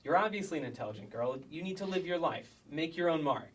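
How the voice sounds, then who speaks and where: A man's voice: a little high, lively intonation, quick pace, a bit bright. Scolding, exasperated kindergarten teacher, male actor, formal and dry, staged comedy reading, mic at a distance. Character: exasperated, strict kindergarten teacher, Miss Della.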